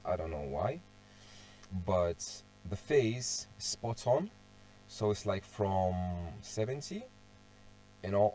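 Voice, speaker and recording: A young man with a fairly low voice talks through his walkthrough at an unhurried, drawn-out pace. He is sick and his voice is going hoarse. He thinks aloud as he goes, restarts phrases and keeps clearing his throat. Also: dark tone, low-quality recording. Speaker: car audio hobbyist presenting a tuning walkthrough. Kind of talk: casual screen-share tutorial.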